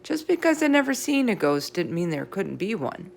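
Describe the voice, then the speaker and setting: A woman's voice: medium pitch, very animated, moderate pace, gravelly, slight background noise. A woman reading a children's storybook aloud as narrator. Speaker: read-aloud reader. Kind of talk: children's book read-aloud, character voices in dialogue.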